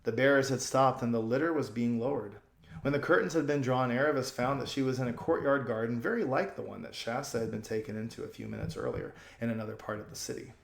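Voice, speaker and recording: A man's voice: mid-range voice, fast talker, gravelly. A man narrating a children's storybook as a storyteller, reading aloud and explaining things to young listeners. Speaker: storyteller, channel host.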